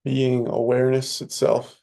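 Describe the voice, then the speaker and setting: A man leading a guided meditation as a teacher, speaking soothingly in short, unhurried phrases with long pauses. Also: medium pitch, monotone, vocal fry, dark tone.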